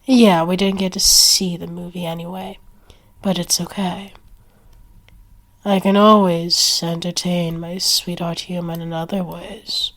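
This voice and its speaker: A woman's voice: lowish voice, level tone, measured pace, fairly bright tone. A woman voicing a flirty, smug and protective female werewolf in an ASMR roleplay, talking in a teasing, taunting way. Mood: flirty, coaxing.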